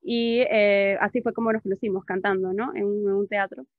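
A woman in her thirties with a Peruvian Spanish accent touched by Cuban influence, talking softly as a guest on a video call, with many fillers and repeats. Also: medium pitch, fairly even intonation, fairly quick, no room sound. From Peru. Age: 30s. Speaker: interview guest. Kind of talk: casual video-call conversation. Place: outdoors under a patio umbrella. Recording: dull, dry video-call audio.

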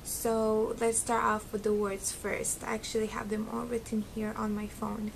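A chatty young woman with a slight Arabic accent, her voice sitting a little high. She talks straight into a close webcam in a small bedroom, giggly and full of ums. A faint low rumble hums underneath. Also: little pitch movement, moderate pace, creaky, poor audio. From Syria. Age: young adult. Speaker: beauty vlogger. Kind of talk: casual chat to camera. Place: small bedroom at home, indoors. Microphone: close webcam, seated facing camera.

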